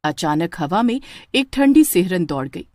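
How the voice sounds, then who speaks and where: Expressive woman narrating a horror tale. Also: fairly low pitch, moderate pace, dry recording. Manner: dramatic, with stammering in character lines. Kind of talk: scripted horror story narration.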